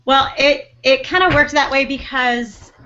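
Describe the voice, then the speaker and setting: A woman's voice: high voice, flat delivery, a little slow, sharp tone, highs cut off. A woman teacher chatting casually and a little self-effacingly on an online video call. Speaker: fifth-grade teacher. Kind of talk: casual chat.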